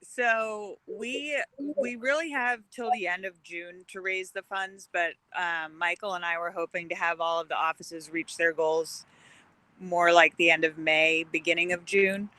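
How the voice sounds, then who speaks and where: Bright, smooth-voiced woman giving an update. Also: fairly low pitch, fairly even intonation, unhurried, no echo, low-quality recording. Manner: friendly, hesitant, many ums. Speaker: fundraising organizer. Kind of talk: casual meeting update. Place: parked car.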